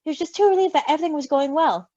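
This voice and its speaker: A woman reading a story aloud expressively, with an animated, giggly manner. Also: high voice, racing, dry recording. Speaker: story reader. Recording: slightly dull, close dry mic.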